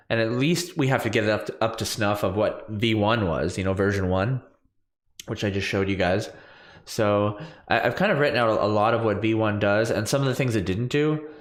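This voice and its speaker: Stuffy man in his thirties, lowish voice, rambling coder streaming from a roomy home setup. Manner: rambling, filler-heavy, self-correcting. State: stuffy from allergies. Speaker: coding livestream host. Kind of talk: casual screen-share coding walkthrough. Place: small home room with purple lights. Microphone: large studio condenser mic on boom arm.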